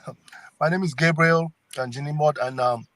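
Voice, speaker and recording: A man's voice: on the high side, fairly even intonation, moderate pace, a bit bright. Middle-aged man with a Cameroonian accent. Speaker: congressional candidate, guest. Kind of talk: conversational campaign interview.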